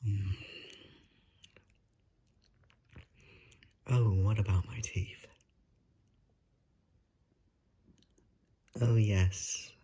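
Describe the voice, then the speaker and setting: A man playing a suave, predatory vampire in an ASMR roleplay, speaking in a breathy half-whisper with a courtly, coaxing manner. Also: fairly low pitch, steady tone, mellow. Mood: silky, coaxing. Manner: courtly, drawn-out, seductive menace.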